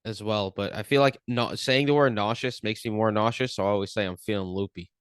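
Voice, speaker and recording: A man hosting a podcast in relaxed, teasing chat. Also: fairly low pitch, fairly quick, clean tone, no echo, studio quality. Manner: teasing, laid-back. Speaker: podcast host. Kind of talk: casual chat.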